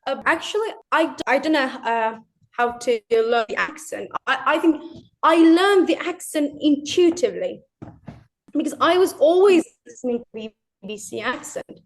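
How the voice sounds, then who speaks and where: A woman's voice: high-pitched, lively intonation, normal speed, poor audio. A young woman from Turkey, answering interview questions in English in a hesitant way, often repeating her words. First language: Turkish. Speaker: interview guest, English learner.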